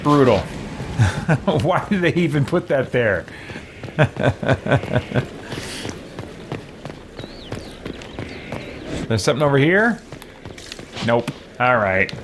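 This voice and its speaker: A man in his thirties casually comments while playing a video game. His pitch is mid-range and moves a lot, at a slow pace, with clear game noise behind him. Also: very animated. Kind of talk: casual let's play commentary. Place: small room at home with full shelves.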